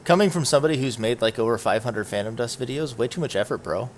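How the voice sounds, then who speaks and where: A man's voice: medium pitch, quick pace, slight background noise. A young man hosting a podcast in casual chat, teasing his guests and laughing easily, speaking from home on a call. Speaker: podcast host.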